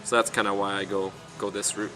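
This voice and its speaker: A young man with a hoarse voice demonstrating fly tying at a shop bench, talking casually with lots of ums. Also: on the low side, brisk, over music. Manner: relaxed, chatty, lots of ums and uhs.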